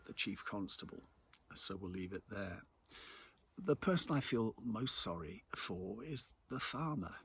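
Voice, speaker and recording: Husky low-voiced English man, musing. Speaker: local commentator and host. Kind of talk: reflective spoken monologue.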